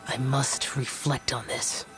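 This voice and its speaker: A man's voice: medium pitch, flat delivery, slow, a bit bright. A man voicing a stoic, aloof immortal demon hunter in a video game, speaking in a solemn, formal, reserved way. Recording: background music.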